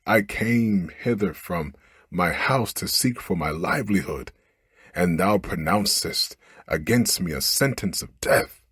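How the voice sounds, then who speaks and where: A man's voice: low voice, expressive, a little slow, fairly bright tone, breathy edge, no echo, clean studio sound, exaggerated voice. A man voicing a poor storybook fisherman who speaks in archaic, plaintive and dramatic words. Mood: lamenting. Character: poor fisherman, lamenting and pleading, bold when startled. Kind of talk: storybook character read aloud.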